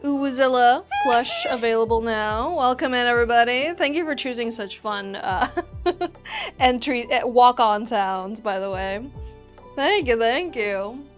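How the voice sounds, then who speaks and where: A woman's voice: a little high, lively intonation, unhurried, faint music behind. A young woman from Argentina hosting a casual livestream, chatting with viewers in a self-teasing way and laughing often. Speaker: livestream host.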